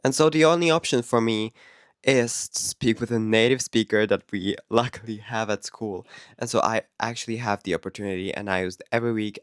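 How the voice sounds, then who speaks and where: A man's voice: on the low side, clean tone, no room sound, clean studio sound. A man with a Czech accent, whose first language is Czech, talking to camera in a chatty, candid vlog with frequent laughs and self-corrections. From Czech Republic. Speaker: vlogger. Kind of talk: casual vlog talk to camera.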